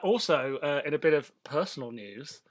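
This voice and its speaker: A man's voice: a little high, animated, moderate pace. A man co-hosting a podcast in casual, chatty banter, with a fondness for detail. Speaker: podcast host. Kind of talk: casual banter between co-hosts.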